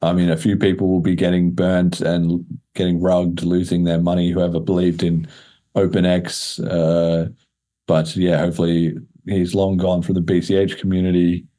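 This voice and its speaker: Low, mellow-voiced man hosting a podcast. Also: monotone, normal speed. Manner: informal, slangy. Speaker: podcast host. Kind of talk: casual podcast chat.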